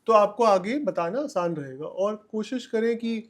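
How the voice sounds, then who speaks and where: A man's voice: high voice, lively intonation, unhurried. A man giving explanatory answers as a legal expert on a podcast, emphatically repeating key points and mixing in English terms.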